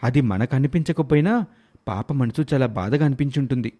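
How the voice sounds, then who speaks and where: A man voicing an earnest, protective young father in an animated moral story. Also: mid-range voice, fairly quick, close to an everyday voice. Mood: apologetic. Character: protective, soft-hearted young father and husband.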